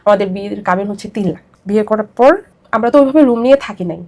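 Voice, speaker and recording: A woman's voice: mid-range voice, quick pace, a little mellow. A young woman with a Bangladeshi accent telling her personal story as an interview guest, emphatic and repetitive as she pours out her account.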